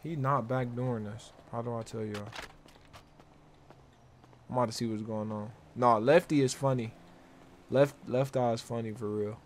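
A man's voice: mid-range voice, fairly even intonation, moderate pace, pauses often. Slangy American man on noisy game chat. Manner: slangy, repeats phrases. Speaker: streamer roleplaying in an online crime game. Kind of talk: casual in-character street chat.

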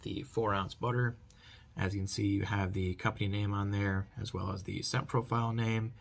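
A man's voice: fairly low pitch, little pitch movement, normal speed, vocal fry. A man in his thirties giving a casual product review to camera, repeating emphatic stock phrases, recorded at about arm's length in a small bedroom. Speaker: product reviewer, channel host. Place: small furnished bedroom, indoors.

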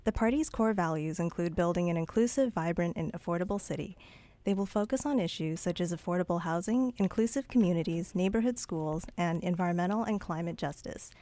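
A woman's voice: lowish voice, a little fast, dark tone. A woman hosting a campus radio show, reading a scripted introduction of her guests. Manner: reads from a prepared script. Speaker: radio host. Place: radio studio. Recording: dry studio sound.

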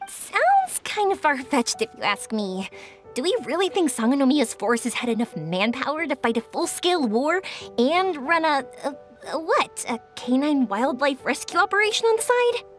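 A bright, high and bubbly young woman, teasing friends with a lively, bouncing lilt. She is a cheerful game character with faint music behind her. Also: moderate pace. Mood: upbeat. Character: cheerful young fireworks-maker girl, bubbly and playful. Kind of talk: video game voice acting.

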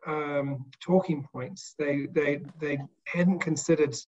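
Halting man, slightly high and muffled. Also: fairly even intonation, darkish tone. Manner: halting, repeats words, measured. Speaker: panel speaker. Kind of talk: reflective recollection in a panel discussion. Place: indoor room, video call. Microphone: video call microphone. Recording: slightly muffled video call audio.

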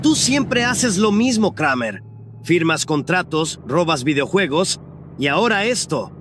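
A man plays an irate cartoon adventurer with a high voice. He nags and scolds indignantly over clear car noise. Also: sing-song, measured pace. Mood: exasperated. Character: furious, exasperated adult man, nagging cartoon adventurer. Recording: loud car engine noise behind.

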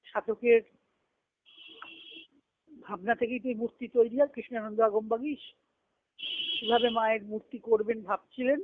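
A woman's voice: fairly high pitch, steady tone, normal speed, pauses often, no echo. A woman giving a devotional discourse as a spiritual teacher, reflective and explanatory, posing questions and answering them. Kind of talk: devotional discourse with recited scripture. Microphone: phone or conference call. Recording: narrow, tinny phone conference line.

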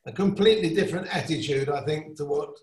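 A man's voice: a little high, quick pace, roomy sound. An older man, a congregant, asking a reflective question on a video call.

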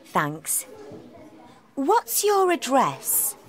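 A woman voicing an adult teacher at a school club desk, asking questions slowly and very clearly in a scripted textbook dialogue. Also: sits high, huge pitch swings, pitched above the performer's own voice, full character voice. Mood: polite. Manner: slow, clearly enunciated questions. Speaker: textbook audio dialogue reader.

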